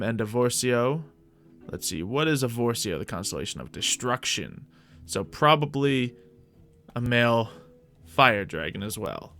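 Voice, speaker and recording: A man's voice: medium pitch, a bit bright, clean tone. A man doing casual gameplay commentary, musing and thinking aloud as he talks through his choices. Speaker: host of a gaming video series.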